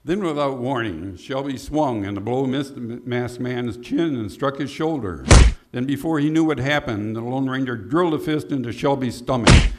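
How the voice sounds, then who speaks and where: A man's voice: medium pitch, normal speed. A man narrating a scripted Western adventure in the style of an old-time radio drama. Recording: clearly noisy background.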